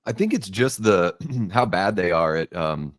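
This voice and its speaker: A man's voice: low voice, expressive, measured pace, dry recording, studio quality. A man co-hosting a podcast, chatting casually and bluntly, with strong opinions and some swearing. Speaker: podcast co-host.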